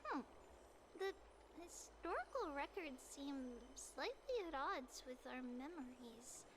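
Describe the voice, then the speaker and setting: Very high, childlike ancient girl. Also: animated, slow, noisy background. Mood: thoughtful. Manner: speaks of herself as we. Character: Lady Treby, childlike ancient female legend-keeper, gentle and forgetful. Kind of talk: video game voice acting. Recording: game music playing behind the voice.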